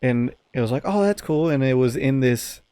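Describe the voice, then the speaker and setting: A man's voice: medium pitch, animated, normal speed, warm tone, clear, resonant tone, no room sound. Male podcast co-host, informal rambling storyteller, loose conversational delivery, very dry close sound. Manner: rambling, informal, profane at times. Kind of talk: casual podcast chat, telling anecdotes.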